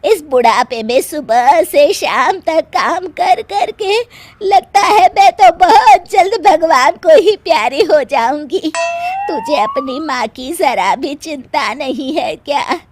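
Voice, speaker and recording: A woman voicing a scolding, sly elderly mother-in-law in an animated moral story, with a theatrical, nagging delivery. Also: high voice, takes it slowly, no room sound. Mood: weary, complaining. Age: older.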